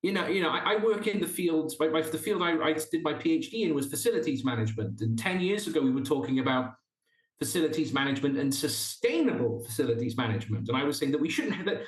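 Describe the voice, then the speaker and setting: A Welsh man with a fairly high voice, talking earnestly as a podcast guest and restarting his thoughts often. The room around him rings noticeably. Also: animated, fast, roomy sound, poor audio. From South Wales. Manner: earnest, with frequent restarts and stumbles. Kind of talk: casual interview chat.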